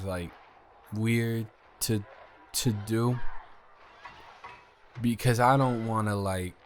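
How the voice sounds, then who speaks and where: A man's voice: lowish voice, fairly bright tone, slight background noise. A man playing a drunk young roommate sincerely confessing love in an intimate roleplay audio drama, stammering and rambling as he speaks to the listener. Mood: nervous, pleading. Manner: stammering, rambling, repeating words, tipsy.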